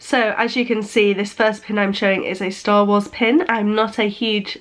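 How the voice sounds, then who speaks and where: A woman's voice: mid-range voice, level tone, moderate pace. A young woman vlogging in a casual chatty way, sounding nasal from a cold and often restarting her sentences. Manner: chatty, hesitant with frequent restarts. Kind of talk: casual chat.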